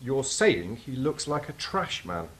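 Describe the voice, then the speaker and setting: An actor playing a skeptical, wisecracking angel in a stage drama. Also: male voice, medium pitch, big pitch swings, a little slow. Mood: puzzled, skeptical. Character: skeptical, wisecracking angel on celestial clean-up crew. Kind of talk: theatrical stage drama acting. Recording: clearly noisy stage recording.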